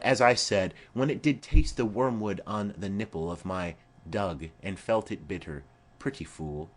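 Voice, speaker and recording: A man voicing a garrulous, fond old female nurse in a Shakespeare play, rambling and exclamatory. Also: on the low side. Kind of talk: amateur-style Shakespeare play reading.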